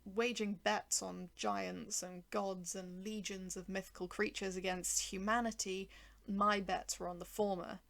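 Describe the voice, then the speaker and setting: A woman's voice: medium pitch, little pitch movement, a little slow, vocal fry, a bit bright. A woman narrating a first-person short story and voicing its dialogue, with a wry, sardonic manner. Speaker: writer and narrator.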